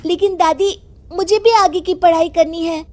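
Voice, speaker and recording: A woman voicing an earnest teenage schoolgirl who protests in an animated family drama. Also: very high-pitched, moderate pace. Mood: pleading. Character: earnest, pleading schoolgirl granddaughter in her teens.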